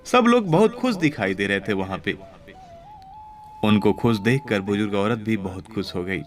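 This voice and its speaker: A man's voice: on the low side, lively intonation, normal speed. Man telling a moral story over music. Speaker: narrator of devotional moral stories. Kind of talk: spoken moral storytelling with preachy address to listeners. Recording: clear background music under voice.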